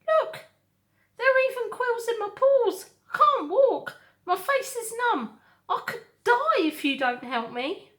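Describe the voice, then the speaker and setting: A woman's voice: very high voice, big pitch swings, slow, a bit bright, pitched above the performer's own voice, exaggerated voice. A woman voicing a hungry male fox in a children's storybook, whiny and dramatic as he pleads and complains. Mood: whiny, defensive. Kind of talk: children's storybook character reading.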